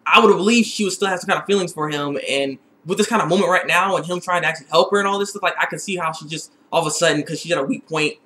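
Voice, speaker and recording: A young man giving a casual, excitable episode recap, repeating phrases for emphasis. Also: on the high side, very fast, hardly any pauses. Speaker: TV episode reviewer. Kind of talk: casual video recap and review.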